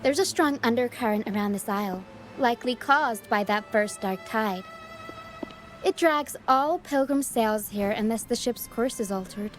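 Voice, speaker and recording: A composed young seafaring first mate, played by a woman for a video game. Her voice sits a little high and stays measured, matter-of-fact and dryly teasing. Music plays clearly behind her. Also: close to an everyday voice. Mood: guarded, serious. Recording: background music under the voice.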